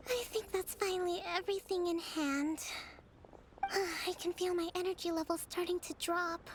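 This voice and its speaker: High-voiced, composed young priestess leader. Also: female voice, fairly even intonation, noisy background. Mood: soft, tired. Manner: formal, measured, composed diction. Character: gentle, dignified young priestess and military leader. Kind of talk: video game voice acting. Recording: game music behind the voice.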